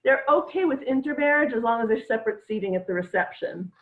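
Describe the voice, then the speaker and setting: Deadpan woman comic on a tinny line. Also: a little high, fast. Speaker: stand-up comedian. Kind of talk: online stand-up set. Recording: tinny echoey phone-like line.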